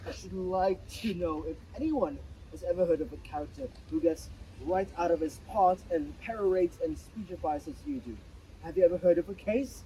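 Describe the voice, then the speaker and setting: A man's voice: sits high, normal speed, warm tone, close to an everyday voice. A man playing an impatient, exasperated theatre boss who scolds bluntly in a dramatic play reading. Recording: distant mic, faintly noisy live recording.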